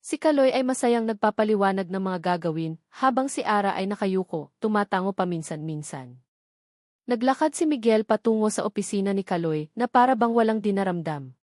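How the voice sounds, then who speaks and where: Filipino woman reading a dramatic audiobook story, mid pitched voice, really dry sound. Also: lively intonation, fairly quick, darkish tone, no room sound. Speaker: audiobook narrator. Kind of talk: scripted dramatic story narration.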